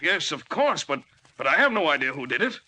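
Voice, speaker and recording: A man's voice: a little high, big pitch swings, fairly quick, pushed and bright, full character voice. A man playing a flustered, evasive small-town hotel manager in a 1940s radio drama, fussy, stammering and indignant.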